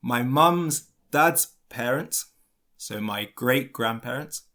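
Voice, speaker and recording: A man with a London British English accent leads a podcast lesson. He talks at an unhurried, very slow pace and keeps his phrasing plain and deliberate so learners can follow. His voice sits at a middle pitch for a man. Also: a bit bright. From London, England. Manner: clear, deliberate delivery with simple phrasing. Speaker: English teacher and podcast host.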